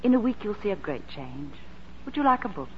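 Actress doing bossy brisk nurse, voice moves up and down a lot, muffled 40s radio. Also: female voice, mid-range voice, sing-song, close to an everyday voice. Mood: brisk, matter-of-fact. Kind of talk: 1940s radio drama acting. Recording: dull, noisy vintage 1940s radio broadcast.

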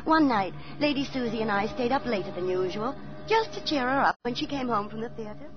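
A kindly, aging former actress played by a woman speaks in a fairly high voice. She is motherly and theatrical, in 1940s radio drama style. The recording is dull and old, with music behind her. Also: lively intonation, brisk, close to an everyday voice. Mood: wistful narration. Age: older. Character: kindly older former actress, landlady recalling a tragedy.

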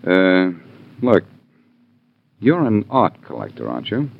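Thin phone-like vintage radio sound, defiant tough hero, lowish, very animated, clipped. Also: male voice, slow pace, vocal fry, exaggerated voice. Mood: bargaining, cocky. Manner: clipped, hard-boiled, confrontational. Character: tough, defiant male crime-drama hero, loyal and cocky. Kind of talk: 1940s radio crime drama acting. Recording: tinny 1940s radio recording, phone-like.

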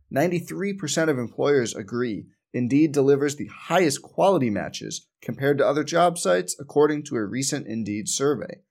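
A man's voice: mid-range voice, a little mellow. Man reading a scripted podcast ad. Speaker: advertisement announcer.

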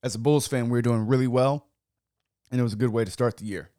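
A young man hosting a casual wine-tasting podcast, hoarse from yelling, talking loosely with lots of fillers and swearing. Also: medium pitch, steady tone, fast talker, no echo, studio quality. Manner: loose and chatty, many "like" fillers, swears freely. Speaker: podcast host.

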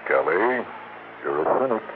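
An American actor playing an earnest, thoughtful investigator's partner in a 1960s radio drama, measured and gently challenging. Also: male voice, sits low, very animated. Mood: mild, questioning. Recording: muffled, noisy 1960s radio recording.

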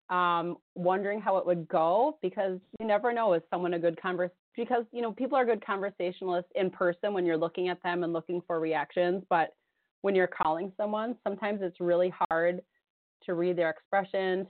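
A woman co-hosting a radio talk show, hoarse with a cold, chatting casually and rambling, often laughing. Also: lowish voice, fairly even intonation, moderate pace, no echo. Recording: tinny phone-line sound.

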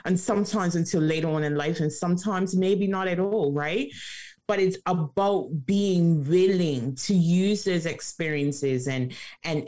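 A woman's voice: sits low, darkish tone. A woman speaking as a life coach guest in an interview, emphatic and motivational, repeating phrases for rhythm.

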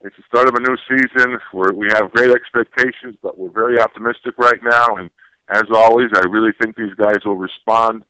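A man's voice: on the low side, steady tone, a little fast, pushed and bright, smooth, no room sound, muffled recording. A man speaking as a podcast guest in a casual sports talk, earnest and repeating phrases for emphasis. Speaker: podcast guest, former college football player.

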